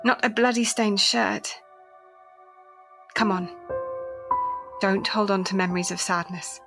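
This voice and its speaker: An actress playing a practical, compassionate Victorian nurse, speaking in a measured, matter-of-fact way in a period audio drama. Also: female voice, mid-range voice, steady tone, creaky, music in the background. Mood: firm, consoling.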